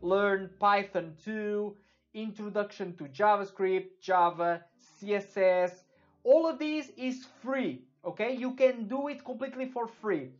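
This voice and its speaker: A man's voice: high-pitched, a little slow. Portuguese-accented young man, casual tutorial. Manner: loose, filler-heavy, repeats words, checks in with "okay?". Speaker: web development tutor. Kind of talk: casual screen-share tutorial. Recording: dull lo-fi with faint music behind.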